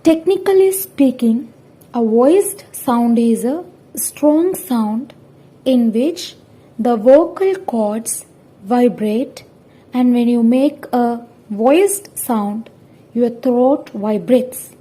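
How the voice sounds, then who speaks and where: A young woman, a student presenter, reading out a scripted lesson in a careful, halting, word-by-word manner. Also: fairly high pitch, lively intonation, warm tone, slightly rough, low-quality recording. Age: young adult.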